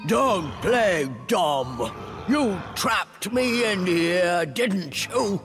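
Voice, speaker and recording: A storyteller voicing a gruff, prideful cat demon. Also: medium pitch, animated, drawn-out words, music in the background. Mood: alarmed, demanding. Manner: gruff barking, defiant, grudging. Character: prideful, desperate male demon trapped in stuffed cat. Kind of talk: video game storybook character voice.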